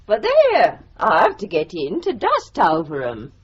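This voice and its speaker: A woman's voice: lowish voice, huge pitch swings, unhurried, slight background noise. A blunt, gossipy landlady. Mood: offhand. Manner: blunt, chatty, offhand. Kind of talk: radio drama acting. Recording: dull lo-fi radio recording.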